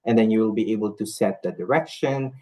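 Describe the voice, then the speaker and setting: A man from the Philippines speaks to a large nursing conference, and English is not his first language. His voice sits on the low side and sounds mellow and warm. He talks earnestly, with frequent ums and self-corrections, and runs audience polls as he goes. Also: brisk. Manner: earnest, many fillers and restarts.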